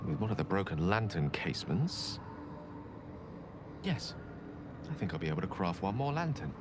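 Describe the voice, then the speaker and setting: Low-voiced scholarly wizard. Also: male voice, very animated, moderate pace. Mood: musing. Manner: eloquent, scholarly, musing aloud. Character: learned, wordy male wizard, adult. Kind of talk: video game voice acting. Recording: game audio with clear background noise.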